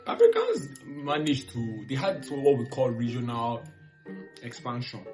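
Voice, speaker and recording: A man's voice: medium pitch, wildly swooping pitch, measured pace. A man with a Nigerian accent giving casual educational explanations as a panelist. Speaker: panelist on a history discussion series. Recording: music playing behind the voice.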